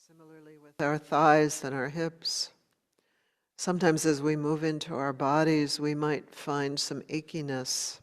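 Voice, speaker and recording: A woman's voice: low voice, flat delivery. Woman guiding meditation in a reverberant hall. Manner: gentle, unhurried, with long pauses between short phrases. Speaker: meditation teacher. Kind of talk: guided meditation.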